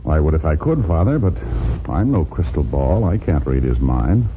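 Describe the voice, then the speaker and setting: A man's voice: extremely low pitch, big pitch swings, moderate pace, background noise. An actor with an American accent playing a wry, cocky private detective in a 1940s radio drama, wisecracking in clipped tough-guy talk. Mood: flippant, evasive. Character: wry, cocky hard-boiled male private detective, shady but soft-hearted. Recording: muffled 1940s radio recording.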